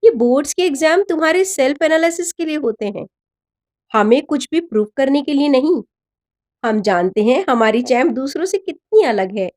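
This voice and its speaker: A woman's voice: sits high, animated, normal speed. A woman narrator voicing an affectionate, encouraging middle-aged father in a story. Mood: gentle, reassuring. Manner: gentle, encouraging.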